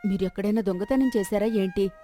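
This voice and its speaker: A woman's voice: mid-range voice, a little fast, dark tone, soft background music, natural voice. A woman playing an exclaiming, questioning village farmer's wife in moral-story dialogue. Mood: doubtful, scolding. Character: village farmer's wife, doubting then hopeful.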